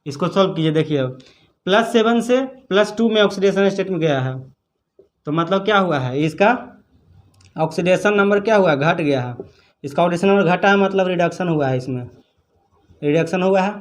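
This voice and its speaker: A man with a noticeably high voice gives a step-by-step lesson. He speaks as a teacher, instructing and repeating each point so students can follow. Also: normal speed. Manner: repetitive, instructive. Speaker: chemistry teacher. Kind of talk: step-by-step exam problem lesson.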